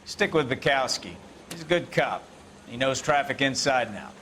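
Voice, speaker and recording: A man's voice: medium pitch, animated, moderate pace, background noise, natural voice. An actor playing a blunt, sardonic American police captain, giving orders to his squad in a video game drama. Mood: brisk, stern. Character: brusque, joking police captain running a briefing.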